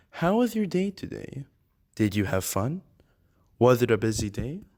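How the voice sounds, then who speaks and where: A reader voicing a friendly moose who gently reads storybooks aloud to young children in a deliberate way. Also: medium pitch, exaggerated voice. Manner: slow, deliberate, addressing children directly. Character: Alex the Moose, friendly storybook-reading moose of the enchanted woods.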